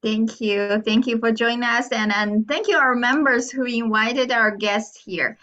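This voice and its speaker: A woman's voice: a little high, steady tone, a little slow, a bit bright. A woman, the club president, welcoming guests and hosting an online meeting in a friendly way, often laughing. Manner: friendly, laughs between remarks. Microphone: video call audio. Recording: dull lo-fi call audio.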